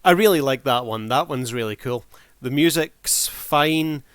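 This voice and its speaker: A man's voice: medium pitch, a little slow, clear, resonant tone, dry recording. A man hosting a video review, talking casually off the cuff in a laid-back, wry way. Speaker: video reviewer and channel host.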